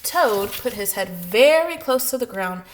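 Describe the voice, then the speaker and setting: Woman preschool teacher for children's story time: higher-pitched, very slow, addressing little listeners directly. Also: expressive, rough recording. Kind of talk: children's storybook narration and greeting.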